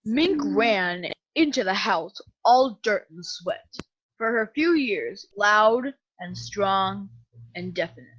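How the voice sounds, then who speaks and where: A woman's voice: mid-range voice, lively intonation, takes it slowly, fairly bright tone, breathy edge. A woman announcing and narrating a scripted radio suspense play. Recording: dull lo-fi with music behind.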